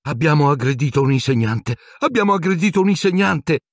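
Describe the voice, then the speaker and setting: A man's voice: fairly high pitch, wildly swooping pitch, moderate pace, husky, no echo, studio quality, voice pushed up, heavily acted. A man voicing a frightened, anxious teenage schoolgirl in a storybook reading, whimpering and panicky.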